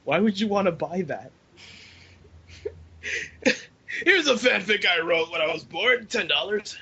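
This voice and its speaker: A male podcast co-host with a high voice that swings widely in pitch and has a raspy grain. He talks casually and jokingly with friends, swearing now and then. The audio is slightly muffled, like an online voice chat. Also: a little slow. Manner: jokey, animated, swears casually. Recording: dull lo-fi voice chat.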